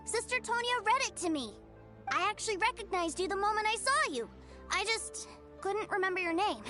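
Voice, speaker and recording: A sweet, eager little boy with a very high voice. He sounds innocent and earnest, bubbling with excitement. Game music plays behind him. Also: moderate pace. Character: sweet, eager young boy, adores his brother. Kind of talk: video game voice acting.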